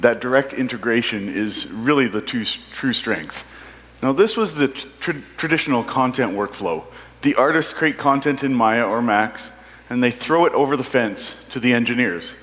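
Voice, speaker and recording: A man's voice: mid-range voice, fairly bright tone. Man presenting in a reverberant hall. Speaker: product manager presenting software. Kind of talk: conference product presentation. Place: large indoor conference hall. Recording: echoey hall with long reverb.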